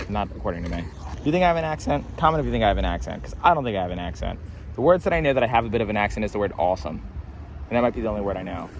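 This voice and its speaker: A man's voice: fairly low pitch, slight background noise. A man vlogging a skate session outdoors, rambling in a casual, self-mocking way and swearing freely. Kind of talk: casual commentary. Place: outdoors at a street skate spot.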